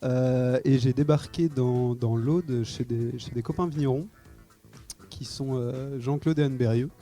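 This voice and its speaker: A man from Belgium, a brewer guest on live radio, relaxed and laughing easily. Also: medium pitch, unhurried, soft background music. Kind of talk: radio interview. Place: live broadcast from a wine shop.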